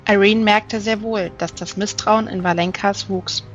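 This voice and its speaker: Woman, audiobook narrator, mid-pitched, measured, careful, faint music behind. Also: steady tone, highs cut off. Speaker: audiobook reader. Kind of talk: scripted literary narration.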